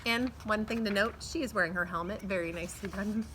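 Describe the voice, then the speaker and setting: American woman, middle-aged, bright voice, expressive teacher-style read-aloud, drawn-out words, outdoor camera mic, faint noise. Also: medium pitch. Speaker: teacher reading aloud to pupils. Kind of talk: children's picture-book read-aloud with asides. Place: outdoors in a backyard patio. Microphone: camera a few feet away.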